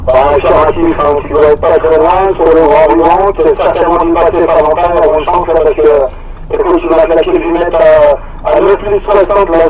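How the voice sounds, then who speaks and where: Higher-pitched man through very noisy CB radio. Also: muffled recording. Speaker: veteran CB radio operator. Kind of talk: casual two-way CB radio chat.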